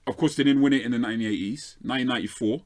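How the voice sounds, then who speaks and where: A man's voice: mid-range voice, very fast, darkish tone. A man with a London accent arguing his points emphatically as a panelist on a football debate show. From South London, England. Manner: emphatic, argues with rhetorical tags like "right?". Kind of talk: opinionated sports debate.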